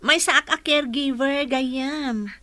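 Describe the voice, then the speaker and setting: An actress playing a modest, warm-hearted female caregiver in a radio drama. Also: sits high, slow, no room sound, exaggerated voice. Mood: pleased, hesitant.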